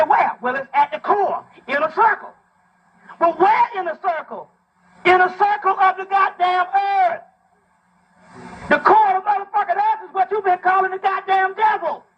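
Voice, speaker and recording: A man with a very high-pitched voice delivers a fiery, informal lecture. He reads from a text and breaks it down emphatically, swearing freely and throwing out rhetorical questions like a preacher. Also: fairly bright tone, muffled recording.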